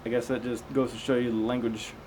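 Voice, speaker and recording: A man's voice: mid-range voice, little pitch movement, quick pace, a little mellow, slight background noise, low-quality recording. A man reading scripture aloud, stumbling over unfamiliar words and dropping in casual, conversational comments.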